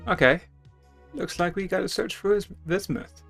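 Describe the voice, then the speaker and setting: Higher-voiced man reading a game aloud. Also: normal speed, gravelly, fairly bright tone, soft background music. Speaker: gamer reading a game's text aloud. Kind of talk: dramatic reading with casual asides.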